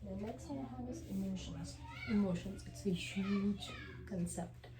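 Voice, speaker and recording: A young woman whose first language is Hindi, giving a class presentation as a student, hesitant and filling pauses with ums and uhs. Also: mid-range voice, reverberant.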